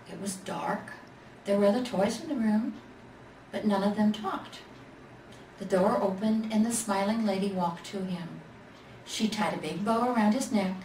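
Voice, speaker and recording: A woman reading a children's storybook aloud as a storyteller. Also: medium pitch. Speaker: storyteller in character as Mrs. Claus. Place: library event room. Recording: echoey room, distant mic, faint noise.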